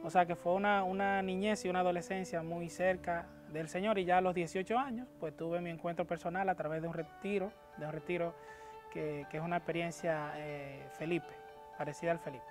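A man's voice: fairly high pitch, steady tone, fairly quick, music in the background. A Dominican man in his thirties giving a personal testimony to camera in a studio, hesitant, with many fillers and restarts. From Dominican Republic. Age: 30s. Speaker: guest. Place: TV studio, dark backdrop. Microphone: lapel mic.

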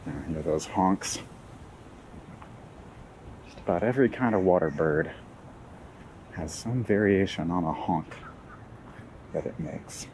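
A man strolls through a city park and talks to the viewers in a relaxed, wry way. His voice sits very low. A faint outdoor ambience runs under it from the camera mic. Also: slow pace, long pauses, slight background noise, rough recording. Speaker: walking tour guide. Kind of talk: casual narrated walking tour.

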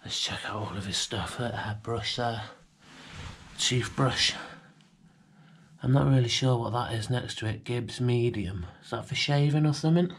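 A young British man quietly narrating as he walks through an abandoned house, musing and sometimes half-whispering, recorded on a handheld camera indoors. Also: medium pitch, moderate pace, husky. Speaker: urban explorer vlogger. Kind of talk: casual walkthrough commentary. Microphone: handheld action camera.

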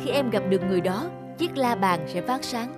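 A woman's voice: a little high, expressive, brisk, close to an everyday voice. A woman playing a stern, admonishing witch teacher in a children's cartoon. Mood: instructive. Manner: firm, admonishing. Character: stern adult witch teacher, disappointed but caring. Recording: music under the voice.

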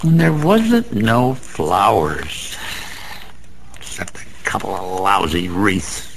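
A man's voice: deep voice, animated, takes it slowly, vocal fry, exaggerated voice, rough recording. An actor playing a bitter, sentimental, down-on-his-luck American small-time gambler in a radio drama, talking in rambling, world-weary slang. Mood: wistful boasting. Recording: faint background noise.

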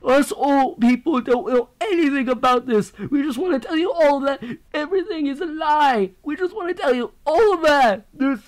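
A very high, shrill old person, as put on by an amateur voice actor. Loud and agitated, this elderly character protests indignantly and defensively. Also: normal speed, hardly any pauses, close to an everyday voice.

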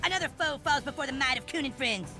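A brash schoolboy superhero for a cartoon game. The delivery is bratty and declamatory with lively swings of pitch, under loud game music. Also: male voice, fast, gravelly, heavily acted. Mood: boastful.

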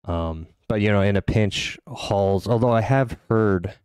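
Very deep, smooth-voiced American man, rambling podcast host, dry close sound. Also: measured pace, a little mellow, no room sound. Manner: rambling, many fillers. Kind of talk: casual podcast interview.

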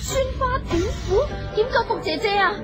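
A woman playing a tender, excitable young noblewoman in a radio drama, expressive and plaintive. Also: very high-pitched, normal speed, loud music behind the voice. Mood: excited, hopeful. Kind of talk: Cantonese radio drama acting.

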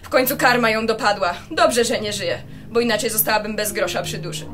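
A woman's voice: mid-range voice, brisk, over music, natural voice. A woman playing a bitter, indignant long-married wife in a radio play, dramatic and affronted. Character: indignant, bitter wife of twenty years, a suspect. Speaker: amateur radio drama actor.

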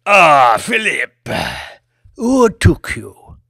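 An ancient, towering English lord for an audiobook. He is imperious and excitable, lifting his voice in theatrical swoops and stretching out his exclamations. The sound is close and dry. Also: high-pitched, vocal fry, no echo, voice pushed up, exaggerated voice. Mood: wry, greeting. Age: 169 years old. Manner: theatrical, drawn-out, exclamatory delivery. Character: imperious, excitable 169-year-old English lord, tall, grandiose. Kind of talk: audiobook character acting.

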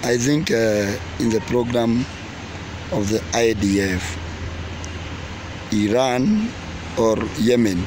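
Man vlogging outdoors over traffic noise. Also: mid-range voice. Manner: halting, emphatic, repetitive phrasing. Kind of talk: opinionated war news commentary to camera. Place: outdoors by parked cars and trees. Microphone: phone or camera at arm's length. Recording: noisy outdoor recording with traffic ambience. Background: outdoor ambience and vehicles.

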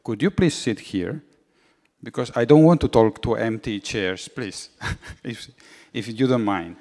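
A man's voice: medium pitch, lively intonation, unhurried, a little mellow. A middle-aged man giving an informal seminar lecture in English on a handheld mic, often repeating words as he searches for them. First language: Catalan. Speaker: guest lecturer. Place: large university lecture hall, indoors. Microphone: handheld microphone. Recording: reverberant lecture hall.

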